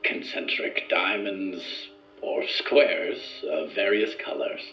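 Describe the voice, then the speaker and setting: An earnest research subject in an audio drama, formal and deliberate. His low voice moves slowly, choosing each word with care. The sound is a muffled, echoing room with music behind. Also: pushed and bright, exaggerated voice. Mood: measured, reserved. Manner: formal, measured, deliberate wording.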